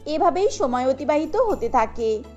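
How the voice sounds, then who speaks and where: Very high-pitched woman storyteller. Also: big pitch swings, unhurried, a little mellow, highs cut off, faint music behind. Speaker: narrator. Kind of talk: scripted story narration.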